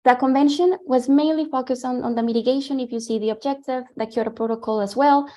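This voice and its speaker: A woman from Colombia with a Colombian Spanish accent, a native Spanish speaker giving a guest lecture over a video call. She explains briskly while she shares her slides, with frequent ums. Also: high-pitched, steady tone, warm tone. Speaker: guest lecturer, former climate negotiator.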